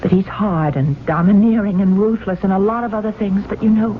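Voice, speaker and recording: A woman's voice: medium pitch, steady tone, a little fast, lightly acted. An actress playing an anxious, tender, devoted woman with a pleading, melodramatic manner in a 1970s radio drama. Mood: earnest, tender. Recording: noisy, tinny 1970s radio recording.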